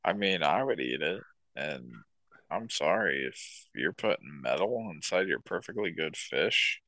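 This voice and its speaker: A young man chatting casually as a podcast co-host, with crude, deadpan jokes. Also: deep voice, measured pace, no room sound. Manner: crude, joking, deadpan asides. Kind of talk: casual chat.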